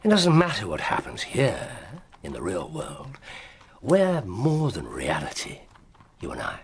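An actor playing a brilliant, musing English detective in a radio drama, with deliberate, theatrical phrasing. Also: male voice, lowish voice, huge pitch swings, crowd noise, heavily acted. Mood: earnest. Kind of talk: BBC radio drama acting.

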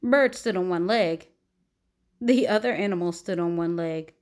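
A woman reading a children's picture book aloud in an expressive, deliberate, dramatic storytelling manner. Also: fairly low pitch. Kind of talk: expressive read-aloud narration.

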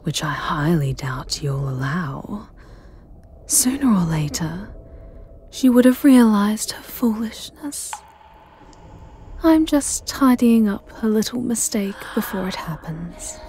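Slow, whispering sinister doll maid played by a woman. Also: mid-range voice, lively intonation, a little mellow, slight background noise. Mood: cold menace. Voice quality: breathy, whispery. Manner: slow, menacing, theatrical, savoring phrases. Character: sinister living porcelain doll maid, jealous, centuries old, obsessive. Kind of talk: dramatic ASMR roleplay monologue. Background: music.

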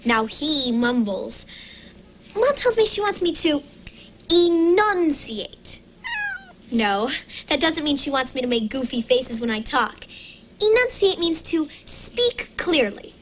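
An actress playing a cheerful young storybook heroine, chatty and lightly playful in a children's TV show. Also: female voice, high voice, very animated, measured pace, a bit bright, slight background noise, exaggerated voice. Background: background music.

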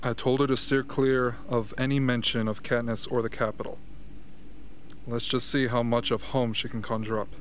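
A composed official in charge, acted by a man in a radio drama. He sounds reassuring and speaks at a medium pitch. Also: level tone, moderate pace, a little hiss or hum, close to an everyday voice. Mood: directing. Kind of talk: amateur radio play acting.

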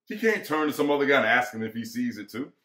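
A man's voice: mid-range voice, very animated, fast talker, a bit bright. A young man playing a lonely, bitter ranch hand who needles and broods, in a dramatic reading. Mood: brooding. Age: young adult. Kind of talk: dramatic reading of a novel's dialogue. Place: small indoor home room, webcam at desk distance. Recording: roomy, echoing home recording.

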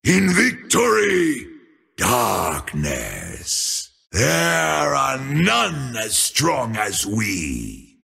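A grandiose troll shadow priest who proclaims his dark power in a very slow, swooping delivery. His voice is raspy and gravelly, breathy yet bright and forceful, and it often rises to a shout. These are menacing video game battle lines. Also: mid-range voice, full character voice.

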